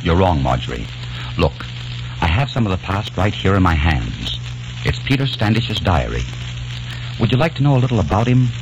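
A man's voice: sits low, big pitch swings, moderate pace, background noise. An actor playing a young American man obsessed with the past, in an old radio drama, narrating earnestly. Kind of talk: 1940s radio drama acting. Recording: dull old radio recording.

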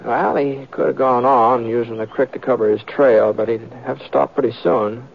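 An actor playing an easygoing, seasoned western lawman and tracker in an old radio drama, with a hesitant, folksy, unhurried way of talking. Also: medium pitch, vocal fry, natural voice. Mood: relaxed, folksy. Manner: hesitant, folksy drawling delivery with murmured asides. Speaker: lead actor and narrator of the story. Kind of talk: 1940s-50s radio western acting and narration. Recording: noisy, muffled old radio recording with clicks.

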